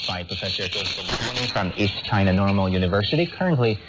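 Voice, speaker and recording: A man's voice: on the low side, lively intonation, fast. A man hosting a current affairs show, presenting in a scripted, questioning style. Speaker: talk show host. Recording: muffled shortwave radio with loud static noise.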